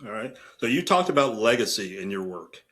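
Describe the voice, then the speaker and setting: Male interviewer for a podcast. Somewhat deep register, measured delivery of questions. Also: very animated, fairly bright tone.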